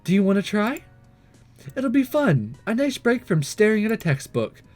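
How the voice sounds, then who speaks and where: Man putting on a high, bubbly teasing schoolgirl. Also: sing-song, normal speed, a little mellow, soft background music. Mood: bubbly, coaxing.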